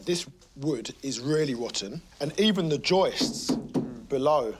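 A man's voice: a little high, animated, measured pace, noisy background. A man co-presenting a renovation show, chatting on site in an encouraging, upbeat way. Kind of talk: casual on-site chat.

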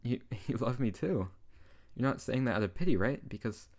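A man's voice: mid-range voice, lively intonation, moderate pace, a little mellow. A man playing a tsundere wizard, a teasing and tender best friend turned lover, in an intimate ASMR roleplay. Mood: shy, hopeful.

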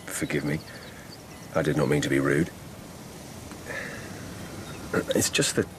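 An actor playing a grave, courteous palace lieutenant in a radio drama, measured and formal in manner. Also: male voice, extremely low pitch, noisy background. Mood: reserved.

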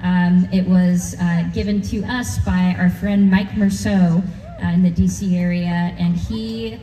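A woman speaking from a festival stage to the crowd, relaxed, with fillers and pauses. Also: fairly low pitch. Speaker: band member presenting a giveaway. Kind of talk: stage announcement. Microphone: stage microphone, filmed from the crowd. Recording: roomy PA sound from far off with crowd.

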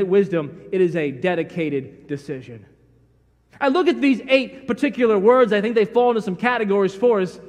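Middle-aged male preacher, high-pitched, animated and homespun, sermon with long church reverb. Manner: folksy, animated storytelling, acts out dialogue. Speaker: pastor. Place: large church sanctuary.

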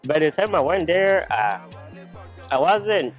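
A young man from Uganda, a new high school graduate, speaking plainly outdoors with "uh" and "like". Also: sing-song, slow pace, faint music behind. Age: young adult. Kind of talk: casual testimonial. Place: outdoors by a house wall.

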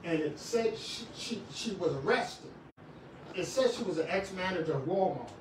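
A middle-aged man preaching a sermon in a small church, swinging from shouts to quiet talk with many restarts. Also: sits high, lively intonation, moderate pace, background noise. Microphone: far camera. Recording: echoey room, far from the camera.

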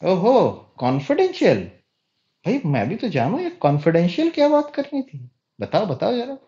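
A man's voice: high voice, very animated, moderate pace, mellow, dull audio. A man playing an indignant middle-aged uncle in a comedy sketch, scolding and incredulous at a prank caller. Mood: mocking, challenging.

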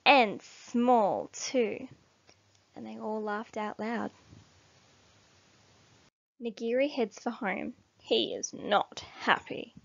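A woman reading a children's storybook aloud expressively, with deliberate emphasis. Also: a little high, level tone, gravelly, fairly bright tone, lo-fi sound. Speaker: storybook reader.

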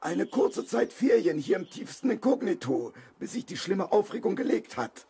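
An actor playing a sly, mocking crook with a raspy voice and false courtesy in a 1970s children's radio play. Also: male voice, sits high, very animated, normal speed, warm tone, exaggerated voice. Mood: menacing, sarcastic. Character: sly mocking male crook threatening a former accomplice.

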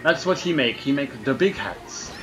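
A man doing comic gameplay commentary in a fake French accent, excitable and hammy, laughing at his own jokes. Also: mid-range voice, normal speed, soft background music. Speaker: let's play gamer.

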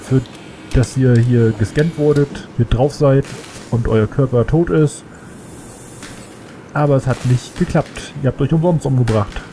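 A man with a cold talks loosely through a game, thinking aloud and rambling between thoughts. His voice sits in a middle pitch. A clear hum runs under the home recording. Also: a little slow, mellow. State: has a cold. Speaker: let's play gamer. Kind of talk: casual gameplay commentary.

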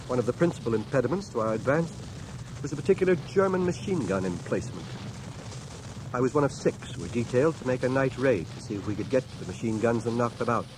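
A male actor plays a war veteran narrating his memories for a radio drama. His mid-pitched voice is measured and articulate, with a grave literary manner. The cassette recording is noisy, with battle effects behind him. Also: animated, lightly acted. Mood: grave, tense. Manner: literary, sober storytelling.

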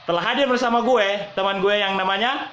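A young man from Manado hosting a playful comedy vlog, talking in Jakarta slang with quick laughs and sing-song repeats, filmed on a phone at arm's length in a small bedroom. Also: high voice, sharp tone, highs cut off, a little hiss or hum. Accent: Manado-born, using Jakarta slang. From Manado, Indonesia. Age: young adult. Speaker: vlogger host. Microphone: phone camera at arm's length. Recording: echoey room phone recording.

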